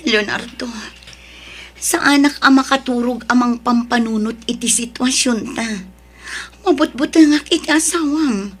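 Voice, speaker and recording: A woman's voice: high-pitched, measured pace, a bit bright, breathy edge, heavily acted. Woman playing a nervous young mistress. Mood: worried. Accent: Ilocano. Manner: startled, defensive questioning. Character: young mistress of a rich married man, anxious. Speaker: voice actor in an audio drama. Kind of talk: Ilocano audio drama acting.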